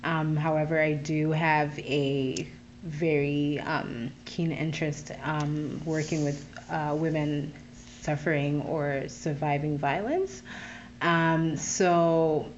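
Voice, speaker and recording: A woman from Zambia, a podcast guest who works with youth, talking in a thoughtful, searching way with many pauses and restarts. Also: low voice, fairly even intonation, lo-fi sound, slight background noise. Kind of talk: casual interview talk.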